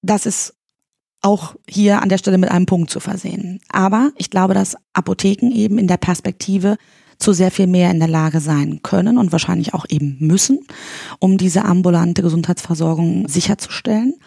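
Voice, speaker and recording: Husky, gravelly-voiced German woman guest. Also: on the low side, normal speed, warm tone, dry recording, clean studio sound. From East Berlin, Germany. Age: 40s. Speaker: candidate for pharmacists' chamber, guest. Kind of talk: podcast interview.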